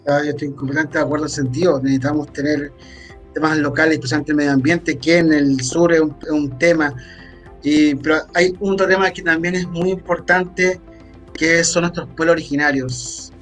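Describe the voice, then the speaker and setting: A man's voice: a little high, fairly even intonation, brisk, a bit bright, soft background music. A man moderating a debate, putting questions conversationally.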